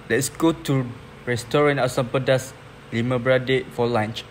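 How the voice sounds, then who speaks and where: A man's voice: mid-range voice, fairly even intonation, moderate pace, lightly acted. A young man with a Malaysian accent playing a welcoming local tour guide in an amateur audio drama, reading stiffly from a script. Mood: informative. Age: young adult. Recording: amateur recording, background noise.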